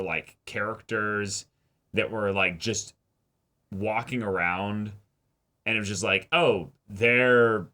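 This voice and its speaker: A man's voice: fairly low pitch, sharp tone. A man co-hosting a discussion show, talking casually and expressively in slangy language. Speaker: co-host of an anime reaction and discussion show.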